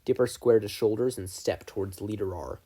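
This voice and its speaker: A man's voice: lowish voice, brisk, mellow, breathy edge. A man reading fan fiction aloud as scripted story narration. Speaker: fan fiction audiobook reader.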